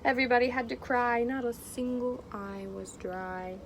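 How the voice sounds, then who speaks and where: A woman teacher doing an interactive picture-book read-aloud for young children, expressive and encouraging. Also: a little high, moderate pace. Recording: clearly noisy background.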